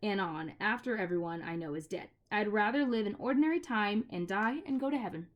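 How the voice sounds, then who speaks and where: Scared stubborn little boy, high and pushy, lady reading him out. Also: expressive, a little fast, dull audio, lightly acted. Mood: defiant. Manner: high, urgent and breathless. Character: frightened, stubborn young boy.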